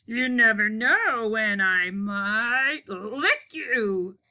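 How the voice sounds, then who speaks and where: A woman's voice: a little high, expressive, very slow, full character voice. A woman voicing a big, scruffy, slobbery boy monster in a storybook, boastful and whiny. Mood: mock menacing. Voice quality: slurping. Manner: forceful, whiny. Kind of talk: picture-book read-aloud.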